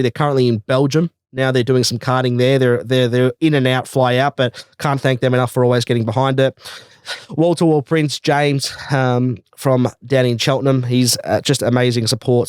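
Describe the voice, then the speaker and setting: A man's voice: medium pitch, smooth, no room sound, studio quality. A man with an Australian accent hosting a casual podcast interview, effusive and often repeating words for emphasis. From Australia, Melbourne. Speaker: podcast host.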